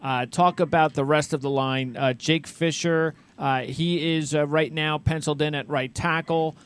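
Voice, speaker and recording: A man hosting a casual sports interview, asking questions in a halting way and often rephrasing mid-sentence. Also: on the high side, steady tone, clear, resonant tone. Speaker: interviewer, sports show host.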